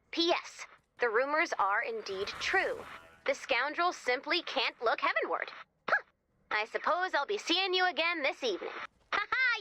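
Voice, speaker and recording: A woman's voice: high-pitched, pushed and bright, slight background noise, full character voice. A woman voicing a quirky, wisecracking young American guide character in a video game, with a chatty, theatrical manner. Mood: exasperated, invested. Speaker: mission guide character addressing the player commander.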